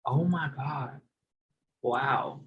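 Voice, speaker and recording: A man's voice: medium pitch, drawn-out words, slightly rough, dull audio. A man talking as a guest on a podcast, easygoing and enthusiastic in casual chat. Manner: easygoing, enthusiastic, quotes himself playfully. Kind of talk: casual chat about a TV drama.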